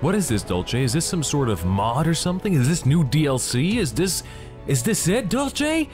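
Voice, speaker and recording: A man's voice: fairly high pitch, very animated, moderate pace, darkish tone, soft background music. A young man from Belgium, talking enthusiastically and jokingly in a casual gaming vlog. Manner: enthusiastic, joking, asks himself questions. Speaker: gaming YouTuber.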